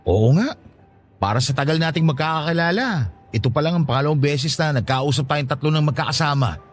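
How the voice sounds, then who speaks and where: A man's voice: a little high, expressive, fairly quick, a little hiss or hum, natural voice. A man playing a grateful, playfully teasing younger married man in a Filipino radio drama, respectful and affectionate.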